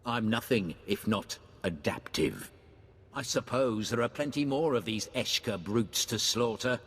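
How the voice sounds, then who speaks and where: A man's voice: on the low side, lively intonation, unhurried, a bit bright, husky, full character voice. A man playing a haughty, sardonic warrior in a video game, speaking archly and craving slaughter. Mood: sardonic, disdainful. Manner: arch, sardonic. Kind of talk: video game character acting. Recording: long cavernous reverb, music underneath.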